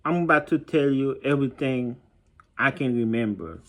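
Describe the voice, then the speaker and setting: A man speaks in a medium-pitched voice. He tells his own story informally, in a halting, reflective way, often pausing to search for the right word. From Haiti. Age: 30s. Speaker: vlogger telling his childhood story.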